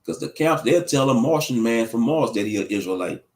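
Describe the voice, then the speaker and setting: A man's voice: mid-range voice, lively intonation, fairly quick. Dude rambling on his livestream, slangy and kinda preachy, chuckles at his own bits. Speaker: livestream commentator. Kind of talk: casual livestream talk answering chat.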